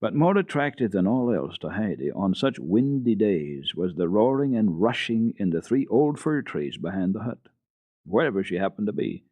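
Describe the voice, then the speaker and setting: A man giving an unhurried, expressive dramatic reading of a classic children's book for radio, with the odd hesitation. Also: lowish voice, no echo. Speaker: storyteller on a children's radio program.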